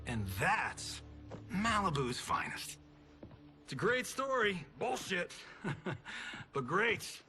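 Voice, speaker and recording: Washed-up action star, cocky, flippant, very animated pitch, game cutscene, music behind. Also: male voice, slow, pauses often, full character voice. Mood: sardonic. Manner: flippant, showy, swaggering. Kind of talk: video game cutscene acting.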